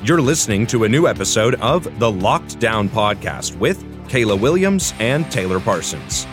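A man's voice: on the low side, big pitch swings, normal speed. A man reading a scripted podcast intro in a polished announcer style. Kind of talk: scripted intro over music. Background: guitar music.

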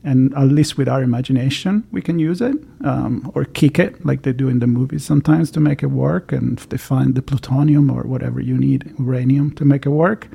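A man's voice: medium pitch, fairly quick, gravelly, few pauses, dark tone, slightly rough. A man hosting a podcast interview over a video call, talking in a rambling, chatty way with many restarts. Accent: Italian. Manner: rambling, with frequent restarts and fillers. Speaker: podcast host. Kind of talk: conversational interview.

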